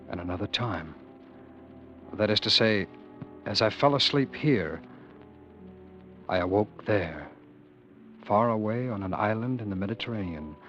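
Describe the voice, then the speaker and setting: A haunted man who once ruled the world tells of his dream in a low, deep voice. He is earnest and intense, and he sometimes stumbles over his words. The old broadcast sounds dull and lo-fi, with faint music underneath. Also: a bit bright. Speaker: narrator and lead actor. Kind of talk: 1940s radio acting.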